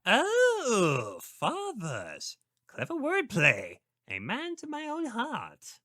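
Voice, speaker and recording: A man's voice: extremely high pitch, huge pitch swings, extremely slow, pushed and bright, dry recording, clean studio sound, heavily acted. A man playing a pompous, indignant elderly priest in a theatrical audio roleplay, sardonic and histrionic. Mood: sly, exasperated. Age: older.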